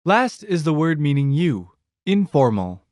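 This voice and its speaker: Lively-pitched male lesson narrator. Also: a little slow, no room sound, clean studio sound. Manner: even, announcing prompts in a fixed pattern. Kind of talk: scripted audiobook narration.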